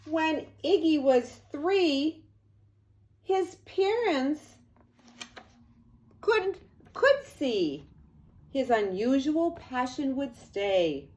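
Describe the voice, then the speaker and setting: An older woman, a grandmother, reading a children's storybook aloud in an expressive, deliberate way. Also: sits high.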